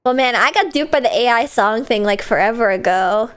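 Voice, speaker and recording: Young woman, sick, higher voice, playful, giggly, casual gameplay chat. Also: lively intonation, brisk, smooth. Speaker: streamer.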